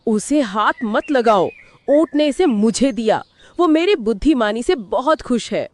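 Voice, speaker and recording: A woman's voice: a little high, lively intonation, measured pace, a little mellow, no room sound. A woman voicing a sly, self-important fox who poses as a queen in a cartoon, crafty and haughty. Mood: scheming, scornful. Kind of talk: animated moral-story character acting.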